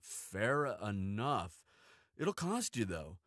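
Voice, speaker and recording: Man acting as cheeky male weaponsmith, lowish voice, very animated intonation, theatrical, shrewd, audio roleplay. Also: drawn-out words, dry recording, clean studio sound, heavily acted. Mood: shrewd, delighted. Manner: playful, mock-wounded, dramatic. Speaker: guest voice actor in audio roleplay. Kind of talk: scripted audio drama acting.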